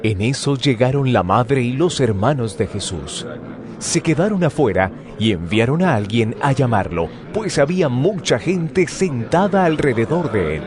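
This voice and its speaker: A man's voice: medium pitch, big pitch swings, a little slow, a crowd in the background. A man reading scripted narration for a dramatized audio Bible. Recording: noisy outdoor ambience effects behind. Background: outdoor sound effects.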